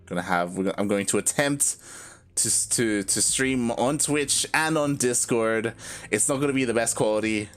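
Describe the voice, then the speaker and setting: A man doing relaxed, measured play-by-play as co-caster of a live strategy match. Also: medium pitch, soft background music. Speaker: esports co-caster.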